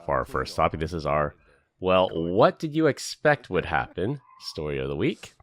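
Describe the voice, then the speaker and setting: Very deep, lively male podcast host. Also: moderate pace. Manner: laughs often, jokey and animated. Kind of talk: casual podcast chat.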